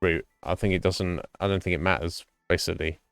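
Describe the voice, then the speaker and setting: A man streaming live and chatting with viewers, thinking his answers through in a halting, thoughtful way. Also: low voice, steady tone, gravelly, a bit bright, smooth, no room sound, faint music behind. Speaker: Twitch streamer.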